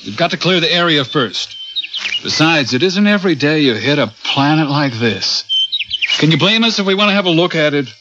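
An actor playing a level-headed, good-humored spaceship captain with easy authority in a radio drama. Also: male voice, on the high side, animated, brisk, lightly acted. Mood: amused. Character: easygoing, level-headed male rocket captain. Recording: dull radio drama recording, loud music.

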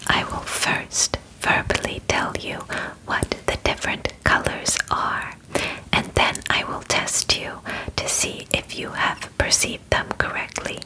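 A female alien examiner, acted out by a young woman in an ASMR roleplay. She whispers softly and breathily, very close to the mic. Her instructions come slowly and deliberately, formal and clinical yet reassuring. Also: close to an everyday voice, low-quality recording. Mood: calm, clinical. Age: young adult. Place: bedroom, indoors. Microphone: close sensitive microphone.

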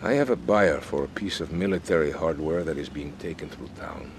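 An actor playing a scheming colonel in a crime game, formal, florid and persuasive in manner. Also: male voice, very deep voice, fairly quick, background noise. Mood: smooth persuasion. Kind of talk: video game cutscene acting.

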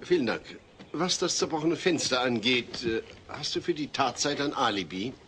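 A man's voice: medium pitch, animated, normal speed, fairly bright tone, natural voice. An actor playing an exasperated family man as prosecutor in a German comedy audio drama, dry and insistent. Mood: measured. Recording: noisy old cassette audio drama.

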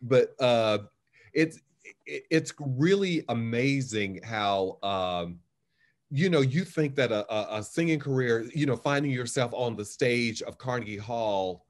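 American man, lively-pitched, halting interview host. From West Texas, United States. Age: about 60. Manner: halting, repeating words as he frames questions. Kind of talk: casual interview. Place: living room at home, on a video call.

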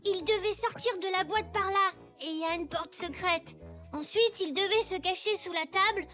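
A woman's voice: very high voice, normal speed, bright, forceful tone. A woman voicing an eager young zebra boy in a preschool cartoon, speaking like a theatrical stage magician. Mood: puzzled, worried. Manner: theatrical, proclaiming. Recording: music under the voice.

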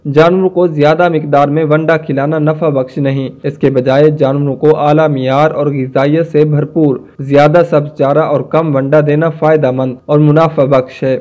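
A Pakistani man narrates instructional material in a formal, scripted way. His voice sits a little high for a man and has a soft, mellow tone. The recording is slightly dull and lo-fi. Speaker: narrator of farming advice. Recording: dull, muffled lo-fi voice-over.

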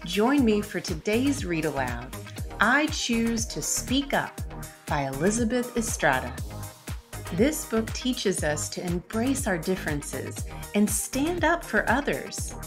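A woman reads a children's picture book aloud at an unhurried pace. Her pitch moves expressively up and down, and she places deliberate weight on each rhyme. Music plays behind her voice. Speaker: host of children's read-aloud channel.

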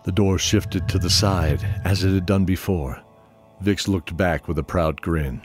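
A middle-aged man hosting and narrating an audio drama in a measured, deliberate way with long pauses. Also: low voice, steady tone, darkish tone. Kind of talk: sincere spoken introduction, then scripted fantasy narration. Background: new-age music.

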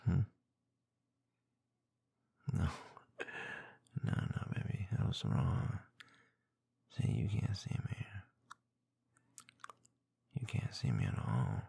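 A man doing intimate ASMR roleplay into a close binaural microphone, murmuring in a husky, breathy, often whispered voice with a slow, teasing, dominant manner. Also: extremely low pitch, very animated, dark tone, no echo. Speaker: ASMR audio performer.

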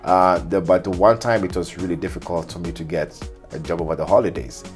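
Deep-voiced man telling stories over music. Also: brisk. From Ghana, long life in Kenya. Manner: expressive, acts out quoted exchanges, laughs while talking. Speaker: podcast guest, cyber security specialist. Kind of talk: animated personal storytelling in an interview.

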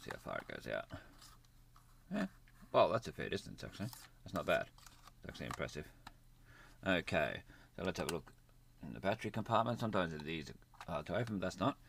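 A man's voice: deep voice, very animated, a little fast, creaky, long pauses, a bit bright, slight background noise. A man giving a casual, unscripted hands-on gadget demonstration in a plain-spoken way. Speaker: gadget reviewer. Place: indoors at a desk, near a computer.

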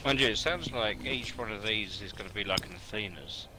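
A man's voice: fairly low pitch, a little fast, noisy background. A man playing a co-op video game, talking casually with his crewmates as they play. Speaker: co-op game player. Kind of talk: casual gaming chat.

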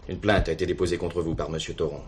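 An actor playing a stiff, coldly dutiful police superintendent in a French radio play, speaking in formal, measured officialese. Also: male voice, low voice, fairly even intonation, slight background noise, lightly acted.

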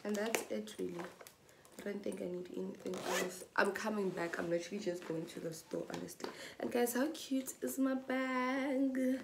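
A woman's voice: medium pitch, creaky, background noise. A young woman from South Africa chatting casually to camera in a small indoor room, showing what she packs in her bag. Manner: chatty, slow and relaxed, mixes in Setswana phrases. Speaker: vlogger. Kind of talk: casual vlog chat.

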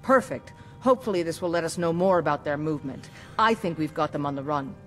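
A fierce huntress in a fantasy game. She talks earnestly and confidingly, like a plotting comrade, with soft music behind her. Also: low voice, animated, fast. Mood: eager, intent. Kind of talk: scripted fantasy game dialogue.

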